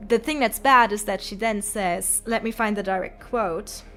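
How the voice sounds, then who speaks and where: A woman's voice: medium pitch, normal speed, a bit bright, soft background music. A woman giving opinionated, casual video commentary in an informal, emphatic way with some swearing. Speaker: video essayist.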